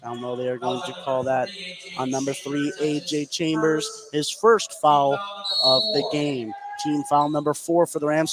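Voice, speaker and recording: An American man doing live play-by-play commentary of a basketball game. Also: on the high side. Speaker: play-by-play announcer. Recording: clear gym noise behind live commentary. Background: noisy basketball gym.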